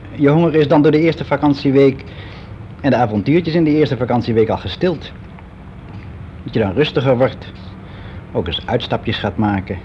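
A man's voice: medium pitch, a little hiss or hum, close to an everyday voice. Frank middle-aged man, played by an actor, dull recording. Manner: hesitant, matter-of-fact, self-justifying, as if answering an interviewer. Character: frank, fussy middle-aged man confiding about his private life. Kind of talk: conversational radio play monologue.

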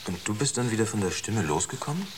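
A man's voice: lowish voice, animated, quick pace, close to an everyday voice. An actor in a German radio drama playing a sober, resolute crewman with a grim, matter-of-fact manner. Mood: questioning. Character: sober, resolute male crewman questioning a colleague. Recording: clearly noisy ambience behind the voice.

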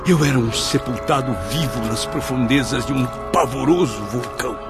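An actor playing an anguished spirit of a dead man, recounting his torment gravely and theatrically in a radio drama. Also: medium pitch, normal speed, close to an everyday voice. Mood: anguished, haunted. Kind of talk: dramatic first-person narration in a radio drama. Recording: loud dramatic music under the voice.

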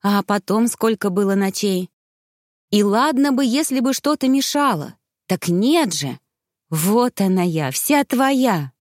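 A woman voicing a playful young wife in an audiobook, coy and theatrical, quick to take offense. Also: mid-range voice, animated, no echo, studio quality, pitched above the performer's own voice. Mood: playful teasing. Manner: theatrical, coy, sharp when crossed.

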